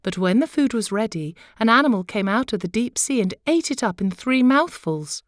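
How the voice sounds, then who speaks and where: A woman narrating a children's story expressively, with deliberate, theatrical phrasing. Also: on the high side, expressive, no room sound, studio quality. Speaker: storyteller, professional voice artist.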